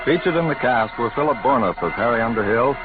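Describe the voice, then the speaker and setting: A man's voice: mid-range voice, animated, fast. A man announcing in emphatic, theatrical scripted 1950s radio style. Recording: tinny old radio recording with music. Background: music.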